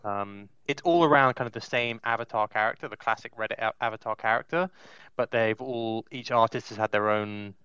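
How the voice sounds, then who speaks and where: A man with a fairly low, bright voice talks casually and haltingly, explaining as he goes. The sound is very dry and slightly dull, like compressed online voice chat. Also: clear, resonant tone, no room sound.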